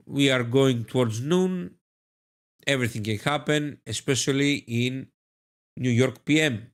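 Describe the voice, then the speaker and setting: Slow, effusive Greek-accented man. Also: mid-range voice. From Greece. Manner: effusive, repeats phrases, calls viewers "my beloved friend". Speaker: live-stream trading host. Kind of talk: live-stream commentary with chat reading.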